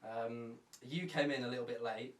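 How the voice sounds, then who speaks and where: A man's voice: mid-range voice, steady tone, moderate pace, distant mic, crowd noise. A young man co-hosting a casual podcast, chatty and self-mocking, rambling with lots of fillers. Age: young adult. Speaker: podcast co-host. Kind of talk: casual chat. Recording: roomy, from off-mic.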